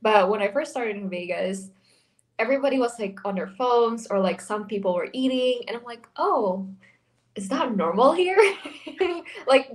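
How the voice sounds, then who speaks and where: A woman's voice: a little high, lively intonation, measured pace. A young woman from the Philippines with an American accent, chatting as an interview guest over a video call, laughing often between fillers. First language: Tagalog. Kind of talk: casual interview. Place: small indoor room, video call. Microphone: desk microphone on video call.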